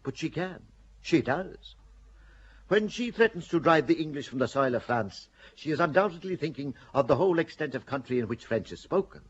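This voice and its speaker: An actor playing a calculating bishop in a radio play, speaking in a measured, persuasive way with a husky voice. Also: male voice, a little high, expressive, muffled recording. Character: shrewd older churchman, a bishop, calculating.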